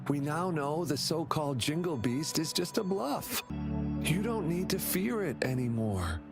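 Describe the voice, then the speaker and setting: An actor playing an anxious, devoted young older brother in a video game. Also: male voice, a little high, big pitch swings, unhurried, gravelly, heavily acted. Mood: reassuring. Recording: loud music behind the voice. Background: loud game music.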